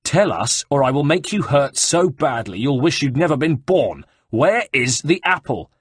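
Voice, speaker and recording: A cruel guard captain snarls threats and mockery, loud and raised above the narrator's own voice, as a man puts him on. The voice is husky and dry, with a lively, swinging delivery. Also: fairly high pitch, moderate pace, no room sound, voice pushed up. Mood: menacing. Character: brutal sneering male guard captain.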